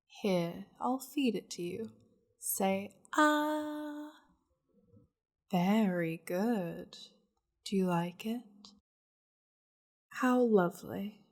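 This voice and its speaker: A woman plays a powerful demon lady in an intimate ASMR roleplay. She speaks slowly and languidly, with a fry-edged voice that slips into breathy half-whispers. Her manner is seductive, teasing and possessive toward her pet. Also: mid-range voice, darkish tone. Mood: playful, doting.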